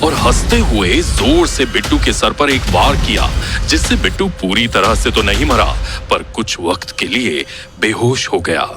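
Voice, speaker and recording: Male horror storyteller: low, unhurried, dramatic scripted narration over background music. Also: very animated.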